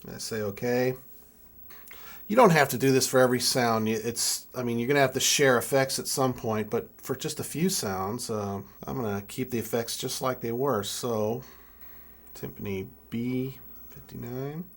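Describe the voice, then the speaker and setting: A man in his twenties giving a casual hands-on tutorial, thinking aloud in a halting way as he works. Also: medium pitch. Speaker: music keyboard tutorial presenter.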